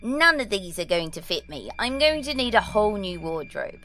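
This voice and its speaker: A woman's voice: mid-range voice, big pitch swings, normal speed, bright, forceful tone, soft background music. An actress playing a prim, ancient girl in a nine-year-old's body, in an audio drama. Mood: prim, composed. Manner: proper, old-fashioned formal phrasing.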